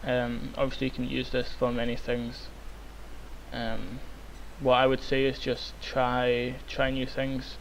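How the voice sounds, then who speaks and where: A young man, hoarse and croaky from illness, rambling with many ums through a software tutorial. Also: mid-range voice, completely flat delivery, a little slow, rough recording. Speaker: tutorial maker. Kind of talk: screen-recorded software tutorial. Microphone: cheap microphone. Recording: faint hiss, cheap mic.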